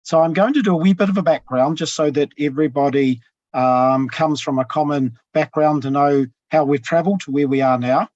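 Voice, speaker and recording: A man with a husky voice, giving a measured academic talk over a video call, often pausing with ums. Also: a little high, darkish tone, no room sound. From New Zealand. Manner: measured, with frequent ums and pauses. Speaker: tax professor presenting. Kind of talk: academic webinar presentation. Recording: dull lo-fi video call audio.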